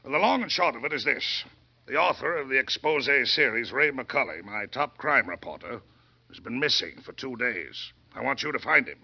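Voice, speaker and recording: An actor playing a pompous, fussy middle-aged newspaper publisher, brusque and self-important, in 1940s radio drama. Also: male voice, mid-range voice, lively intonation, moderate pace, gravelly, breathy edge. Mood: pompous, orating. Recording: dull vintage 1940s radio recording.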